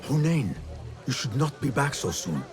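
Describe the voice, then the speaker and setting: An actor playing a polite, measured young assassin from medieval Baghdad in a video game, speaking formally. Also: male voice, medium pitch, very animated, music in the background, lightly acted. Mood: polite, curious. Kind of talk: video game cutscene acting.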